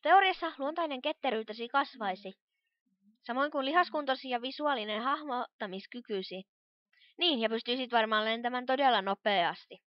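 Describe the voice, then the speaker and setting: A woman's voice: fairly high pitch, no echo, natural voice. A woman voicing a studious, cautious young pony magician in a cartoon fan dub, explaining things in a precise, earnest way. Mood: explanatory. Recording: dull lo-fi home recording.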